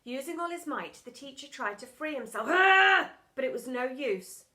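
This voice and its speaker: A woman's voice: high voice, animated, brisk, a bit bright. A woman narrating a children's storybook expressively and theatrically, as a primary school storytime reader. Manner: dramatic, theatrical.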